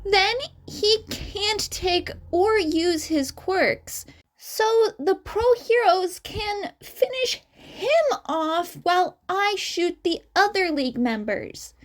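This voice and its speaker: Woman voicing a high-pitched excitable teenage boy. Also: slow, full character voice. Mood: stubborn, defiant. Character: teenage boy, sheepish, excitable, stubborn schemer.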